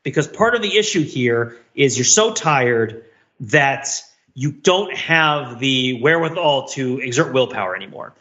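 A man's voice: mid-range voice, lively intonation, unhurried, sharp tone, highs cut off. A middle-aged man co-hosting a casual podcast, explaining things at length in an analytical, rambling, mock-academic way. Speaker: podcast co-host.

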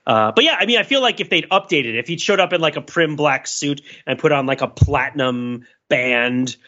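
A man's voice: on the high side, animated, brisk, pushed and bright. A man talking casually and analytically on a podcast panel, with discursive, intellectual phrasing and frequent rhetorical check-ins, on a slightly dull recording.

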